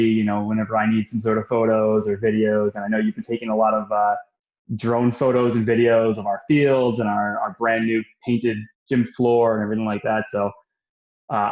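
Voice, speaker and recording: Low-ish guy host, echoey muffled room, chatty questions. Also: darkish tone. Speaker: interview host. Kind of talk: interview questions.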